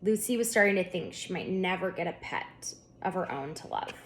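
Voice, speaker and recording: A woman author narrating her children's book at storytime, with expressive, deliberate phrasing for young listeners. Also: lowish voice. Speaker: children's author reading aloud.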